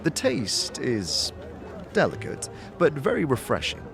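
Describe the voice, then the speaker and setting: A man's voice: medium pitch, wildly swooping pitch, measured pace, creaky, music in the background. A man narrating a scripted story in the second person, as the narrator of an audio drama.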